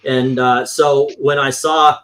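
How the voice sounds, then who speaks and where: A man with a mid-pitched voice talks casually as a host showing off a collection. He rambles in a loose, conversational way and swears now and then. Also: little pitch movement, takes it slowly, a bit bright, clean tone. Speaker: comic book collector and show host. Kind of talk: casual show-and-tell commentary on vintage comics.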